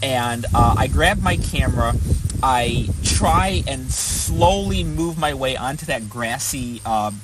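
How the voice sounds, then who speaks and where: A man casually recalling an experience off the cuff, halting and repeating words as he searches for phrasing. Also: mid-range voice, lively intonation, noisy background. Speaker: storyteller recounting a protest. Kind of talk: casual unscripted recollection. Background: music.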